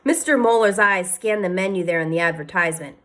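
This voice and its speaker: A woman teacher reading a children's novel aloud, expressive, sometimes speaking to her listeners. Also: lowish voice, brisk.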